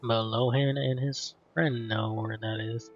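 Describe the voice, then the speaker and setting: A man's voice: medium pitch, steady tone, measured pace. A man commenting casually on a game he is playing, in brief, subdued remarks on a dull lo-fi mic. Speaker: gamer commentating a playthrough. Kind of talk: casual reactions to game dialogue. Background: faint game music.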